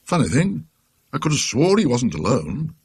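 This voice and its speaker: Man acting a lively British gangway ticket official. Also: mid-range voice, normal speed, creaky, slightly rough, no room sound, studio quality, exaggerated voice. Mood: puzzled, offhand. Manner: deferential, colloquial, muttering asides. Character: plain-spoken working ticket official at a boat gangway.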